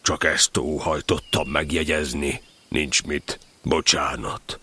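A man voicing a gloomy, grumbling donkey in a children's audio drama, husky and sullen in manner. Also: very deep voice, fairly even intonation, bright, forceful tone, full character voice. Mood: aggrieved, gloomy. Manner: sullen, resigned, deliberate. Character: gloomy, grumbling, slow-spoken old donkey.

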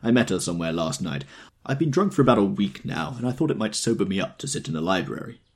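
A man voicing a stout, drunk middle-aged man who talks excitedly and insistently. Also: low-pitched, quick pace, breathy edge, exaggerated voice.

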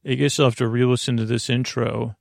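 A man hosting a bedtime podcast with a meandering, halting, goofy and friendly monologue in a gravelly voice. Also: medium pitch, little pitch movement, a little mellow, no echo, clean studio sound. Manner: meandering, halting, trails off mid-word. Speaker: podcast host. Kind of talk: rambling, unscripted bedtime monologue.

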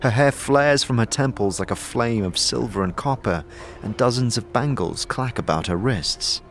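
A man's voice: medium pitch, animated, normal speed, faint music behind. A man narrating a scripted fantasy audio drama in the second person, as a storyteller. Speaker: narrator and lead player. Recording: faint background noise and ambience.

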